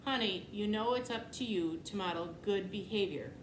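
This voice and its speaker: A woman reading the part of a patient but firm storybook mother, measured and gently correcting. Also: medium pitch, close to an everyday voice. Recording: echoey room, clear background noise.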